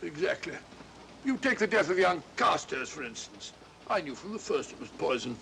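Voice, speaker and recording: An actor playing a bumbling, genial, self-important older English doctor who blusters and repeats himself in an old mystery film. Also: male voice, fairly high pitch, sing-song, moderate pace, gravelly, pushed and bright, heavily acted. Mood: pompous, eager. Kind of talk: 1940s British mystery film acting. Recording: hissy old film soundtrack.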